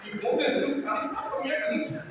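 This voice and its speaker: Animated storytelling pastor in a big echoing hall. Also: male voice, quick pace. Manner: lively storyteller, acts out quotes, laughs. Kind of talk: sermon-style talk with personal stories. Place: large church hall. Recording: roomy church hall, distant mic, background noise.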